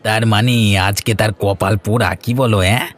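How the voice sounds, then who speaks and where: A man's voice: lowish voice, steady tone, moderate pace, fairly bright tone. A man playing a mean-spirited, smug village man in a cartoon, who mockingly delights in others' misfortune. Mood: nosy. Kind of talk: cartoon dialogue acting.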